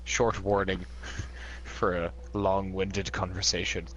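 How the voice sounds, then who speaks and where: A man's voice: deep voice, little pitch movement, takes it slowly, a bit bright, lo-fi sound, a little hiss or hum. A man playing in a tabletop game, joking and swearing freely in character. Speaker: player.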